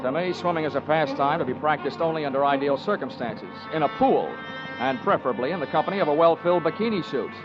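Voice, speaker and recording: Wry hard-boiled detective played by a man, slightly high-voiced. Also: fast, lightly acted. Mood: tense, wry. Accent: American. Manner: wry, deadpan, clipped noir narration. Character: wry, tough hard-boiled male insurance investigator narrating his case. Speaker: lead actor and narrator. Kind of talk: 1940s radio detective acting. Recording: dull vintage radio recording with music.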